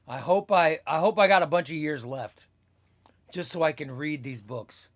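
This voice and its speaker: A man's voice: fairly high pitch, moderate pace. A man in his fifties hosting a comics livestream, chatting casually as he reads viewer comments aloud, rambling and repeating his phrases. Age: 50s. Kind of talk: casual chat reading live comments.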